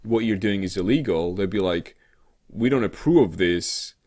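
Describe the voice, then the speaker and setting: A man's voice: lowish voice, lively intonation, normal speed, darkish tone. A man co-hosting a show in casual comedic chat, talking in a hesitant, rambling way full of filler sounds. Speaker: co-host of a weekly show. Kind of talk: casual comedic chat about tech news.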